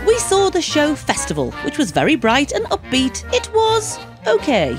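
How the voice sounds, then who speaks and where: Wry woman narrating, very lively. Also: high-pitched, moderate pace, over music. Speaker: vlog narrator. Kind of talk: voice-over narration.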